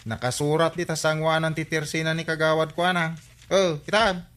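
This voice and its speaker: Bright, highish Ilocano village captain played by an actor. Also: male voice, fairly even intonation, fairly quick, slight background noise, natural voice. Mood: teasing, exasperated. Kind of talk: Ilocano radio comedy-drama acting.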